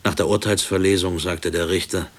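A brooding middle-aged man with a very low, rough-edged voice. He gives a deliberate, matter-of-fact inner monologue in a radio drama. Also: steady tone. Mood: sombre, bitter. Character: brooding married man haunted by wartime prison. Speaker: lead actor and narrator in a radio play. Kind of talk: introspective first-person radio drama monologue.

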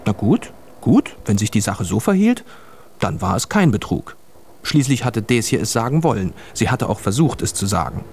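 A man's voice: on the low side, big pitch swings, normal speed, gravelly, darkish tone, slight background noise. A man narrating a scripted children's radio play as a storyteller.

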